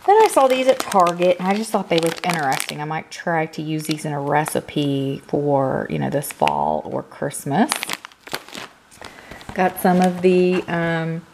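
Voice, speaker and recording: Low-voiced woman, a bit froggy. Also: a little slow, noisy background. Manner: chatty, rambling, frequent ums. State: slightly hoarse from a cold. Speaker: vlogger. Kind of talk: casual grocery haul chat. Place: home kitchen, indoors.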